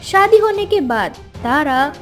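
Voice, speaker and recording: A woman's voice: high voice, expressive, takes it slowly, a bit bright, soft background music. A woman narrator reading a scripted story in a dramatic storytelling style.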